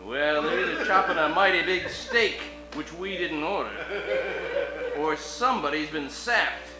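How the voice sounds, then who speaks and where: A man plays an earnest radio-play detective, mock-heroic and suspicious. His high voice rises and falls freely in a roomy space, with music clearly behind it. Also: unhurried, close to an everyday voice. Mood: confiding. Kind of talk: comedic old-time radio play acting.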